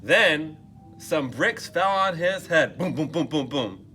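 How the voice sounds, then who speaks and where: Male read-aloud storyteller: bright, lively pitch swings, chatty asides to young listeners. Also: slow, soft background music. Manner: expressive, asks listeners questions in muttered asides. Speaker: teacher reading aloud to children.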